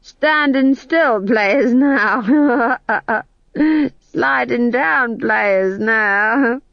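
A woman's voice: a little high, vocal fry, no room sound, pitched above the performer's own voice, full character voice. A woman voicing a cheerful, silly young male actor who giggles and drags out his words. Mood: giggly. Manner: giggly, goofy, drawn-out. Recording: slightly dull, dry recording.

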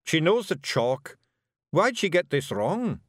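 Male reader as a gruff, commanding Scottish pictsie chief, animated. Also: fairly high pitch, slow, no echo, clean studio sound, voice pushed up, full character voice. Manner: brusque, commanding.

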